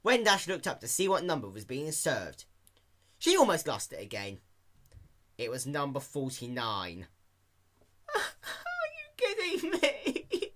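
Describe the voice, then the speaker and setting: A man narrating a story as an amateur fan fiction reader, in an animated scripted style. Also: medium pitch, a little slow, pauses often.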